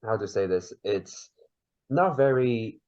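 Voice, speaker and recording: A man from China with a Mandarin Chinese accent, whose first language is Mandarin, chatting lively as a podcast guest and searching for words. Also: on the low side, slow pace, lo-fi sound. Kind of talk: casual interview chat.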